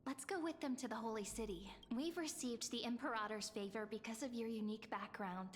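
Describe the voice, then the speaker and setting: A woman playing a calm, thoughtful female companion and guide in a video game, speaking in a measured, encouraging way. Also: on the high side, a little hiss or hum, natural voice. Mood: thoughtful, concerned. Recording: long hall reverb over game music.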